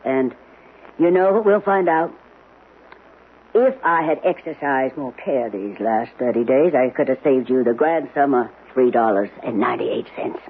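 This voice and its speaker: Plain-spoken female housekeeper, sarcastic, folksy, animated delivery, 1970s radio drama, tinny band. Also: extremely low pitch, moderate pace, breathy edge, heavily acted. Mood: sarcastic, defiant. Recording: tinny, noisy old radio broadcast.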